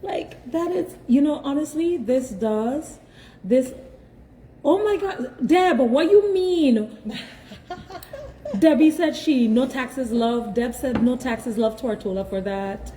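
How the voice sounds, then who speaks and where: Woman TV host, chatty and playful, slightly high voice, roomy shop echo. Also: lively intonation, slow pace, warm tone, a little hiss or hum. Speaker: TV show host. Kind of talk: casual on-location interview. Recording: echoey room.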